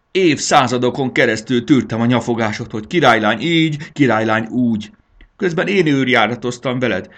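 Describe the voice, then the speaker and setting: A man voicing a furious, fed-up old female dragon from a storybook, scolding loudly in a raised pitch. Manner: loud, blaring, scolding. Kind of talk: dramatized children's storybook reading.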